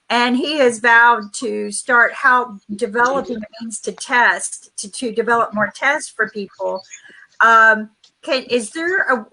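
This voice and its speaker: Woman hosting an online show, bright high voice, keeps restarting her sentences. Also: poor audio. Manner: rambling, many restarts and fillers. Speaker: host. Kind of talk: casual video-call talk show.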